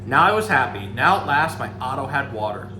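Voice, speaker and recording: A man's voice: mid-range voice, a little fast. A man reading a children's story aloud expressively, breaking into casual asides to a pet. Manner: expressive, acts out the dialogue. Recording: echoey room, background hum.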